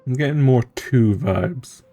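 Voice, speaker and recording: A man's voice: mid-range voice, measured pace, vocal fry, dark tone, soft background music. A male streamer giving casual gameplay commentary, musing wryly and sometimes reading aloud. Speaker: streamer playing and reacting to a story game.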